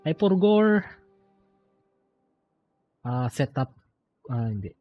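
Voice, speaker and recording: A man's voice: mid-range voice, sing-song, slow pace, lo-fi sound. A Filipino-accented man doing casual, slangy live game commentary, mixing English and Filipino. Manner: slangy, swearing. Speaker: game streamer. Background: game music.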